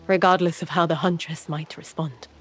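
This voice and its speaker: A woman's voice: lowish voice, steady tone, moderate pace, faint music behind, lightly acted. An actress playing a composed, protective female companion in a fantasy video game, with formal diction. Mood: reassuring, composed.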